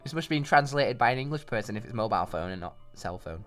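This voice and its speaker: A man's voice: mid-range voice, expressive, fast talker, fairly bright tone. A man hosting a gaming playthrough, narrating and chatting in a jokey way. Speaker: gaming channel host. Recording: music behind the voice. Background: music.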